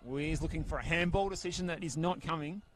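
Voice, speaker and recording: A man calling a football match outdoors at a ground, soft-spoken and steady, speaking close into a handheld mic. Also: on the high side, fairly quick. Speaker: football commentator. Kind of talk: live football commentary. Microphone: handheld mic held very close.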